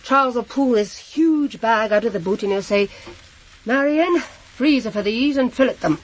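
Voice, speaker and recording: A woman acting a proper middle-aged housewife, newly widowed, in a radio crime drama. Her fairly high voice is halting and flustered, circling back over her own words in bewilderment. There is faint noise behind her. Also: expressive, natural voice. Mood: dazed, rambling. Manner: halting, flustered, repeats herself.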